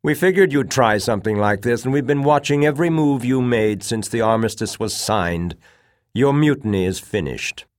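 A man's voice: medium pitch, expressive, normal speed, hardly any pauses, voice pushed up, close to an everyday voice. Aristocratic officer, audiobook, performed by a man: confident, cold, condescending, with a ringing tone of command. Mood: smug, confident. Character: confident, coldly indifferent aristocratic male colonel crushing a revolt.

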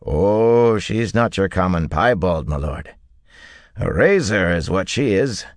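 A man's voice: low-pitched, animated, slow pace, no room sound, studio quality, pitched above the performer's own voice. A man voicing a wiry, shrewd stable-keeper in an audiobook, with a deferential, bland salesman's patter. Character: wiry, leathery, shrewd male stable-keeper selling horses. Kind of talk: audiobook character dialogue.

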